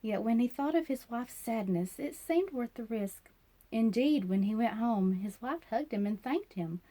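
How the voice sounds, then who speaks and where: A woman's voice: a little high, expressive, moderate pace, mellow. A woman narrating a children's fairy tale as a storyteller, reading aloud expressively.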